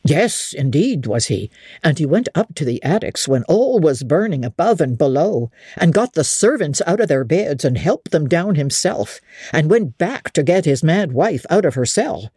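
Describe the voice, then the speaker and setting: A woman reads aloud as a gossipy old country manservant from a classic novel, his voice dropped low and husky. He drawls in rustic old-fashioned dialect, his pitch rising and falling with lively swings as he spins a grim tale in a storybook reading. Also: normal speed, dry recording, clean studio sound, exaggerated voice. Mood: horrified. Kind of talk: dramatic audiobook reading.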